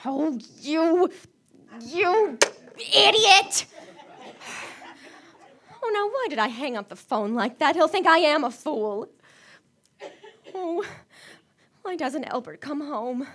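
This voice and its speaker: A woman's voice: high-pitched, sing-song, takes it slowly, long pauses, a bit bright, exaggerated voice. A woman acting a fretful, demanding, self-pitying bedridden wife who stammers nervously, in live radio-thriller theater. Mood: frantic, fretful.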